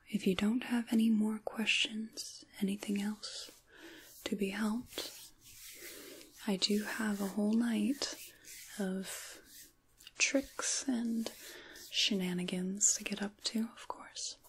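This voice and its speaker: A woman playing a kindly, caring witch in a soft, intimate ASMR tarot roleplay, largely whispered, with a gentle, reassuring manner. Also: medium pitch, fairly even intonation, slow, husky, low-quality recording. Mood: fond, soft.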